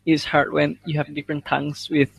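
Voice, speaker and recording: Higher-voiced Indonesian man, modest and polite. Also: completely flat delivery, fairly quick, creaky, slightly rough, no echo, poor audio. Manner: polite, modest, searching for words. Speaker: guest participant. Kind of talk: casual chat in learner's English.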